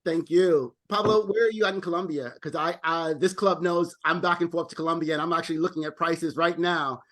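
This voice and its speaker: Male meeting host on a video call, high pitch, friendly, stumbles into repeats. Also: steady tone, a little fast, a bit bright. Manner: friendly, repeats words when thinking. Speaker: club president, meeting host. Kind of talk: casual online meeting chat.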